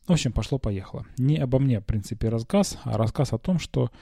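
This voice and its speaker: Dark, mellow-voiced man telling casual stories. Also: mid-range voice, animated, quick pace, vocal fry, dry recording, clean studio sound. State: recovering from a cold, slight cough. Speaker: podcast host. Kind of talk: casual personal storytelling. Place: small quiet bedroom, lying on a bed.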